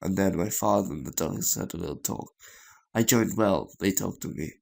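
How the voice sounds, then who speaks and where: A man reading a visual novel story aloud as a gaming host, in a halting way with stumbles and repeated phrases. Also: deep voice, creaky, a little mellow.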